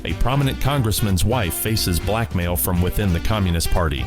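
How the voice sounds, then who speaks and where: A man's voice: deep voice, expressive, normal speed. A man hosting a radio show, reading a scripted introduction. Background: clear guitar music bed.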